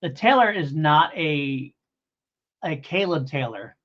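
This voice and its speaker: A man's voice: a little high, expressive, slow pace, fairly bright tone. Rambling man in casual review chat, slightly dull audio. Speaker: podcast co-host.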